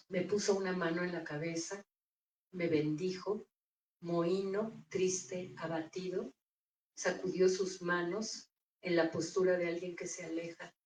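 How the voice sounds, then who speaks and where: Woman reading her poems real slow, low mellow voice, echoey room, mic kinda far. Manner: measured, deliberate recital with pauses. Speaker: poet reading her own work. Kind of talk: poetry reading.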